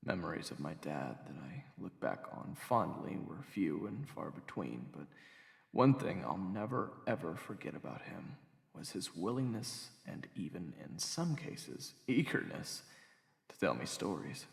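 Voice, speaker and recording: A man playing a wistful, earnest cook who tells old legends in a hushed, halting, reverent way for an audio roleplay. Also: low-pitched, sing-song, creaky, breathy edge, full character voice. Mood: fond, wistful. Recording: music behind the voice.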